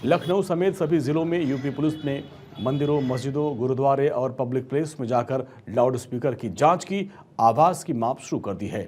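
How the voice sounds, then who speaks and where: A man's voice: mid-range voice, moderate pace, few pauses, a little mellow, slight background noise. A man reading a scripted news report as an anchor, with emphatic delivery.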